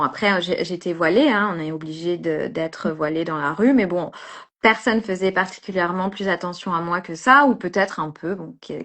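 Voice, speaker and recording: A woman's voice: on the low side, fairly bright tone. A woman from France, a street photographer, chatting as an interview guest and talking hesitantly with many fillers and restarts. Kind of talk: casual interview chat.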